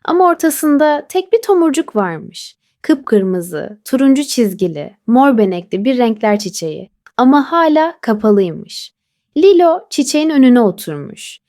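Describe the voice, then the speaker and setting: Gentle high lilting woman storyteller. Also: big pitch swings, moderate pace, no room sound, studio quality. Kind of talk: bedtime story narration.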